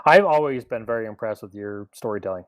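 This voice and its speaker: A man's voice: lowish voice, animated, quick pace. A man casually leading a book club discussion on a podcast, in an informal conversational style.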